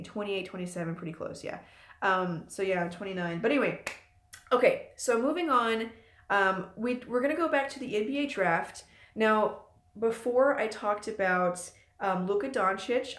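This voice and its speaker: Late 20s woman rambling on a live stream, lots of ums, middle pitch, kinda echoey room. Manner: chatty, loose and rambling with many ums. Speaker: channel host. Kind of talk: casual live-stream chat. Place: room at home.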